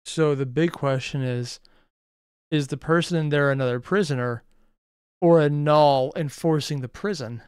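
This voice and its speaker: A man with a slightly high, smooth voice reacts casually to a story he is following. He speculates aloud and swears easily, in a dry, close recording. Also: moderate pace, a little mellow, dry recording. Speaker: reaction channel host.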